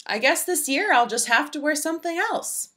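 Lady reading as a fussy jolly old father christmas type in a picture book, exclaiming, bright, swoopy pitch. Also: expressive, normal speed. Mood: exasperated. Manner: exclamatory, theatrical.

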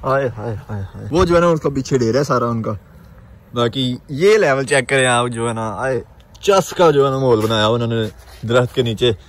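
A man's voice: mid-range voice, moderate pace. A young man from Pakistan with a Punjabi accent, chatting casually to camera in an informal way peppered with filler phrases. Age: young adult. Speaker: vlogger. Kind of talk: casual vlog chat to camera. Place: mostly inside a car, also outdoors and indoors. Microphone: phone at arm's length. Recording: clearly noisy phone recording with vehicle sounds.

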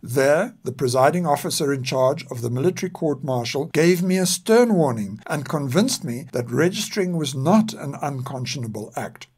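An older man reads scripted historical narration in a measured, formal and serious way. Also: on the high side, lively intonation, darkish tone, husky. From South Africa. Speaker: narrator and podcast author.

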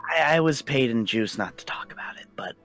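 A man's voice: mid-range voice, expressive, a little fast, a bit bright. A man playing a casual, cagey student informant in a game roleplay, speaking hesitantly. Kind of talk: improvised roleplay. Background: faint music.

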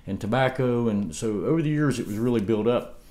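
A man's voice: medium pitch, fairly quick, warm tone. A man in his fifties telling rambling stories in a casual chat, recorded at his dining table on a camera across the table. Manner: rambling storyteller with laughs and restarts. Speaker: homesteading vlogger. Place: home dining room, indoors.

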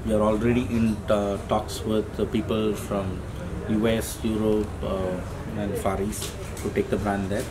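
A man's voice: fairly low pitch, flat delivery, a little slow, few pauses, rough recording. A man in his thirties answering interview questions casually as a restaurant owner. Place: indoors, busy restaurant. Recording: busy restaurant chatter behind. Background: restaurant crowd.

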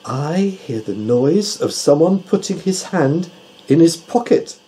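A man's voice: on the high side, lively intonation, unhurried, dark tone, a little hiss or hum, voice pushed up. A man voicing an exacting, haughty owl in a children's story, speaking precisely and emphatically. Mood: insistent, boastful. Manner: emphatic, precise, self-assured. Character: male owl with keen hearing, exacting and haughty.

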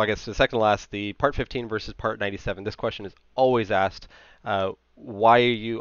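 Low, clear American man presenting, slightly dull audio. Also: dry recording. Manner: explanatory, checks in with "right?". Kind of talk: informal slide presentation on technical subject. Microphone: headset. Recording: slightly dull, band-limited headset audio.

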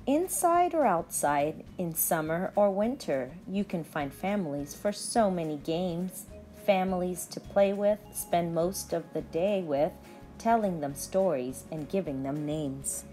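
A woman's voice: fairly low pitch, lively intonation, unhurried, dark tone. Woman reading aloud to children, music behind. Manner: simple, instructive phrasing aimed at young children. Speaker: early-grade teacher. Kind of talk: children's read-aloud and lesson talk to pupils.

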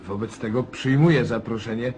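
A man's voice: mid-range voice, normal speed, darkish tone, slight background noise, close to an everyday voice. An actor playing a polite, reserved male lawyer in a radio play, speaking formally with courteous hesitation. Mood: courteous, hesitant.

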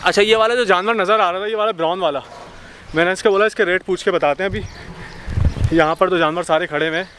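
A man's voice: high voice, fast talker, fairly bright tone. A young man, chatting casually as a vlog host and projecting as he walks. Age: young adult. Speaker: vlogger, host. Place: outdoors in an open cattle farm yard. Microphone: camera at arm's length or a few steps away. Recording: noisy open-air farm ambience.

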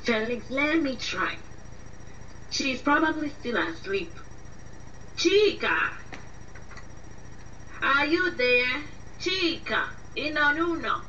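A high, forceful auntie with a Nigerian accent, acted by a woman. She speaks emphatically and disapprovingly in a radio drama, slightly off a muffled mic. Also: very animated, slow pace, long pauses, heavily acted. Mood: calling out. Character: disapproving auntie, an uncle's wife. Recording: muffled distant mic with faint noise.

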